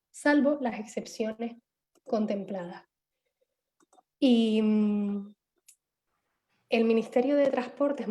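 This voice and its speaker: A woman's voice: fairly high pitch, warm tone. A woman giving a panel talk on a video call, hesitant, with many fillers and restarts. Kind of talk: online seminar talk.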